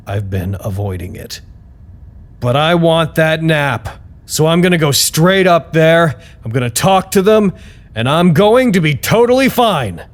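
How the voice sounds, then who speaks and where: A male actor playing an amiable, nervously upbeat staffer who narrates his report in a wry, expressive, conversational way for an audio drama. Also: on the high side. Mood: nervously determined. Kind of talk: scripted audio drama monologue, personal report.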